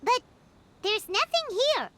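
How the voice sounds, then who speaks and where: A woman voicing a tiny, excitable fairy companion in a video game, with a squeaky childlike voice and a chirpy, dramatic manner. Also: slow pace, dry recording, exaggerated voice. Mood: puzzled, curious. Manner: chirpy, dramatic, talks in third person. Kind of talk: video game dialogue acting. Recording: clear background noise.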